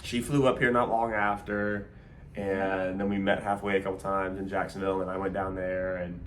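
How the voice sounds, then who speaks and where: A man's voice: low voice, level tone, moderate pace, fairly bright tone, slight background noise. A man in his thirties chatting casually with his partner, rambling with many fillers and restarts. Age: 30s. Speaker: co-host of couple Q&A video. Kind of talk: casual chat.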